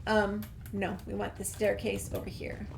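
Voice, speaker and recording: A woman's voice: medium pitch, completely flat delivery, moderate pace, gravelly, slight background noise. A woman hosting a casual game playthrough, musing aloud as she goes. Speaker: gaming host.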